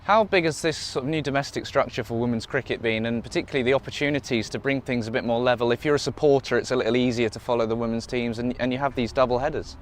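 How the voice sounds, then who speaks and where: A man's voice: mid-range voice, quick pace, hardly any pauses, slight background noise. A man presenting a live cricket broadcast, asking a guest questions and reading out event details. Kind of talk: broadcast sports interview. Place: outdoors at a cricket ground.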